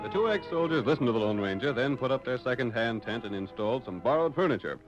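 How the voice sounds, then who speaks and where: Animated male narrator of vintage radio. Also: medium pitch, fast. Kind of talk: 1940s radio scripted narration. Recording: muffled vintage radio recording. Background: music.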